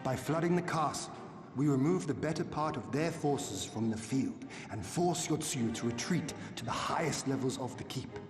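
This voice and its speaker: An actor playing a resolute young lord in a fantasy game, formal and commanding in his deliberate speech. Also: mid-range voice, lively intonation, close to an everyday voice. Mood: determined. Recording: roomy, long reverb, clear music behind.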